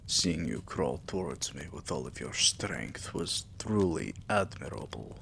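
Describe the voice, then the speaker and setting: A man playing a tender, possessive vampire king in an intimate roleplay ASMR, speaking softly and breathily with courtly endearments and often dropping into a whisper. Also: very deep voice, lively intonation, a little slow, vocal fry, a little hiss or hum, heavily acted. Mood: tender, devoted. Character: tender, possessive male vampire king doting on his human pet.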